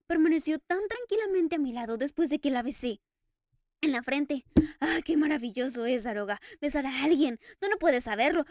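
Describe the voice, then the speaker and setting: A woman's voice: high-pitched, a little mellow, no echo, clean studio sound. A woman voicing an anguished masked man who talks in impassioned, stammering outbursts between sobs, read as a storybook character. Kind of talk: audiobook character reading.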